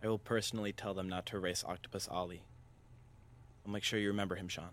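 Man acting as low-voiced, protective American father. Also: little pitch movement, fast talker, a bit bright. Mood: gentle. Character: protective, anguished father working at a secretive corporation. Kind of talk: audio drama acting.

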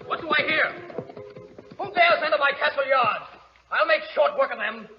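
An actor playing a cruel, murderous lord in an old children's radio drama, with threatening theatrical delivery. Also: male voice, very high voice, moderate pace, soft background music. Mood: menacing. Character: menacing murderous lord Bluebeard, a cruel villain. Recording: noisy muffled old radio, long echo.

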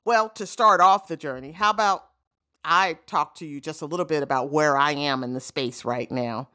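Low-voiced reflective woman in her fifties. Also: moderate pace. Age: 50s. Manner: warm, reflective storytelling with frequent "you know" asides. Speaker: podcast host. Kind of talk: reflective solo monologue.

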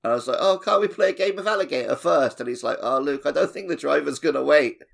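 A man's voice: a little high, quick pace, gravelly. A man co-hosting a podcast in casual chat, telling anecdotes in a jokey, self-deprecating way. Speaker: podcast co-host.

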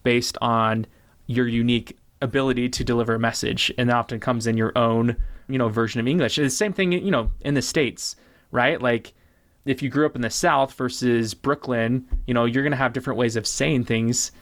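A man being interviewed as a guest on a podcast, speaking casually and thoughtfully, repeating words and slowing down to stress key points. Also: medium pitch, steady tone, fairly bright tone. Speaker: podcast guest, branding expert.

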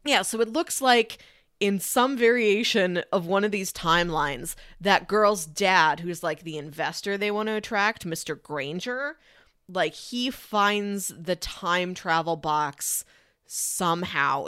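Lower-pitched woman, close dry sound. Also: a bit bright, dry recording. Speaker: podcast co-host. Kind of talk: casual film discussion.

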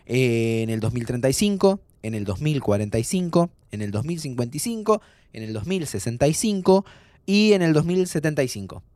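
A man's voice: mid-range voice, very animated, a little fast, darkish tone, dry recording, natural voice. An actor playing a playful, impatient young man with an Argentine accent, informal and teasing, in a naturalistic audio drama. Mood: playful, reassuring. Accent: Argentine Rioplatense. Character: pleading, playful, impatient young man planning time travel. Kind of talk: naturalistic audio fiction acting.

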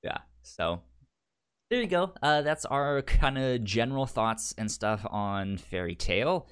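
A man co-hosts a laid-back podcast, talking things over in relaxed chat. He stumbles often, repeating and restarting words as he works out what to say. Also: medium pitch, smooth. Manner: halting, many stammered restarts. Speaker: podcast co-host.

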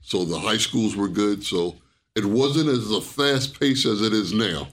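An older man from New York, a retired soldier, telling his story in a relaxed, plain-spoken way during a casual interview. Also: on the low side, unhurried.

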